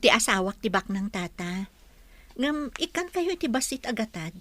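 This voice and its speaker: A woman acting a gentle, devout mother and wife in a radio drama. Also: a little high, very animated, normal speed. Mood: kindly, earnest.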